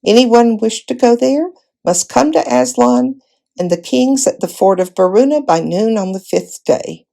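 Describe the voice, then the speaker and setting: A woman reading a children's fantasy novel aloud as an expressive storybook narrator. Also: fairly high pitch, a little slow, creaky. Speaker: volunteer storybook reader.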